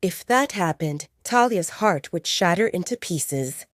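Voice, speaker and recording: A woman's voice: fairly low pitch, animated, measured pace, no room sound, studio quality. A woman narrating a scripted audio drama as a storyteller.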